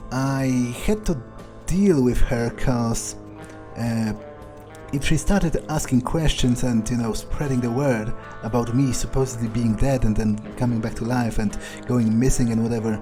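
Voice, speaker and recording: Slow, mellow man role-playing a jaded vampire. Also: medium pitch, natural voice. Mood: matter-of-fact. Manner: unhurried, wry, muttering to himself. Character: jaded, wary male vampire thinking aloud. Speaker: gamer role-playing in character. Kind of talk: in-character game playthrough commentary. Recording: faint game music behind.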